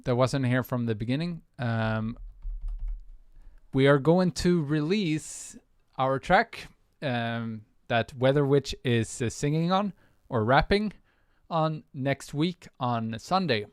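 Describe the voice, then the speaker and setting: A man's voice: mid-range voice, expressive, slow, clean tone, no room sound, studio quality. A man with a Swedish accent, chatting loosely with viewers on a music livestream, full of fillers and restarts. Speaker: music producer streamer. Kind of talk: casual livestream chat.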